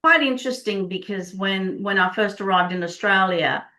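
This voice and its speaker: Middle-aged female practitioner, Czech native speaker, medium pitch, enthusiastic and explanatory in conversation. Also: moderate pace, bright, forceful tone. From Prague, Czech Republic. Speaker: Body Code practitioner, interview guest.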